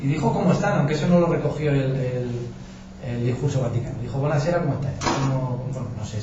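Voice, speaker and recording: A journalist from giving an informal talk to an audience, colloquial and anecdotal, with frequent restarts. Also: male voice, medium pitch, quick pace. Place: meeting room with audience. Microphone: distant room mic. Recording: echoey, distant, dull with noise.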